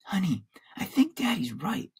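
Guy doing a worried protective mom in an audiobook, soft and husky, placating. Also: mid-range voice, sing-song, a little slow, pitched above the performer's own voice, heavily acted. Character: protective, soothing, defensive mother of a schoolboy.

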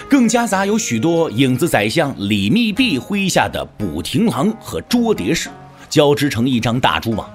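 A man narrating a martial-arts novel as a dramatic audiobook, with a measured, expressive storyteller's delivery. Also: a little high. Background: background music.

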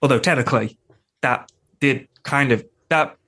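Male podcast guest, lots of vocal fry, informal and conversational. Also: medium pitch, normal speed, bright, forceful tone. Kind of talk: casual chat.

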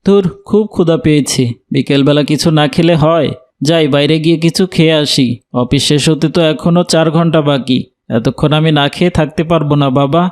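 A man's voice: on the high side, a little fast, lightly acted. A man voicing a kind, educated office worker in a cartoon, speaking courteously and helpfully. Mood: hungry, casual. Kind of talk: animated cartoon dialogue.

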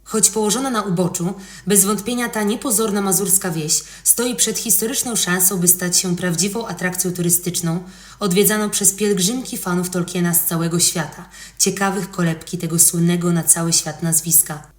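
A woman reading a scripted educational history narration in a measured, articulate storytelling manner. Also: on the low side, steady tone, reverberant. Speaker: podcast narrator.

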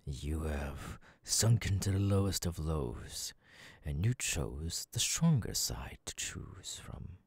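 A deep, husky demon lord, acted by a man, speaks slowly and closely in an ASMR roleplay. He is cold, menacing and commanding, often sinking into breathy murmurs as he looks down on his listener. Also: animated, no room sound, full character voice. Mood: menacing, domineering. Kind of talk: ASMR audio roleplay monologue.

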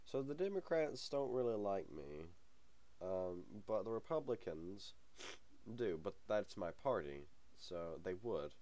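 A man's voice: lowish voice, big pitch swings, measured pace, mellow. A young man hosting a gaming channel, talking casually over a game. He has a cold and the sniffles, and he rambles with many ums and small chuckles. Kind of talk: casual let's-play commentary.

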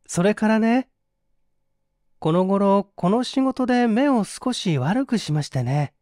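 A man's voice: sits high, animated, slow, darkish tone, no room sound, clean studio sound, voice pushed up. Man acting a meekly pleading, wily convict. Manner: polite, wheedling, plaintive.

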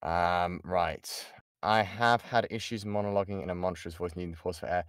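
A man's voice: low-pitched, fairly even intonation, normal speed, clear, resonant tone, no room sound, studio quality. A British man giving an informal voice acting lesson and answering questions, often restarting his sentences and stressing key points. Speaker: voice actor giving a workshop. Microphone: close mic on an online voice chat.

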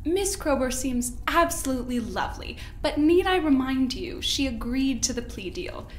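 A woman plays a haughty scientist from an audio drama, mock-polite and quietly menacing. The voice sits fairly high and is theatrical, with clear room echo and faint noise behind it. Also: lively intonation, normal speed. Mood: coolly menacing. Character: haughty, smoothly menacing scientist in charge of experiments.

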